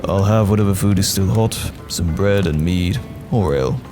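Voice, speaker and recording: A man playing a courteous, guarded, wry wandering knight in a fantasy audio roleplay, speaking in measured, old-fashioned phrases. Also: low voice, close to an everyday voice. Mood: weary, civil. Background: music.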